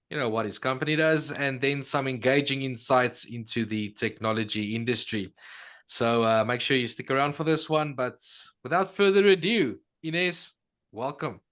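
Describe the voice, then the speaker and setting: A man's voice: medium pitch, normal speed, fairly bright tone. A man hosting a podcast interview, polite and conversational, often hesitating and rephrasing as he puts his questions. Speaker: podcast co-host.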